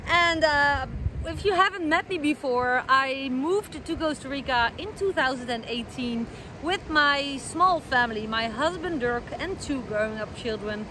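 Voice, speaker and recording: A woman's voice: sits high, animated, unhurried, pushed and bright. Chatty Dutch-accented woman vlogging outdoors. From Netherlands. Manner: chatty, with frequent fillers and searching for words. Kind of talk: casual vlog to camera. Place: outdoors by the ocean. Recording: noisy outdoor recording.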